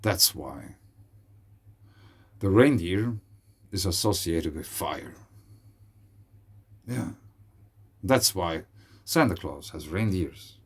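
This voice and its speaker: A man with a Swiss German accent talks to camera in an unhurried, meandering way. His voice sits fairly low, and he drifts slowly from thought to thought, stopping often for fillers and restarts. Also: expressive, slightly rough. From Switzerland. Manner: rambling, hesitant, with frequent fillers. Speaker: video creator. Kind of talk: casual monologue.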